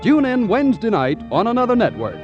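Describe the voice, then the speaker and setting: A man's voice: very high-pitched, wildly swooping pitch, a little fast, soft background music. A man with an American accent making formal, emphatic announcements as a 1940s radio announcer. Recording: dull 1940s radio broadcast.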